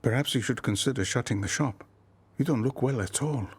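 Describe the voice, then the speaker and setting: A man's voice: mid-range voice, lightly acted. An actor playing a formal, courteous, dryly polite man in a radio soap. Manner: formal, measured, dry.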